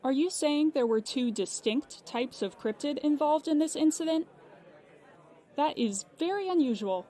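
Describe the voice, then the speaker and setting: An actress playing a polite, unflappable insurance claims agent in an audio drama, precise and businesslike. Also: female voice, high-pitched, animated, measured pace, mellow, some chatter behind. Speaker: claims agent on a phone call.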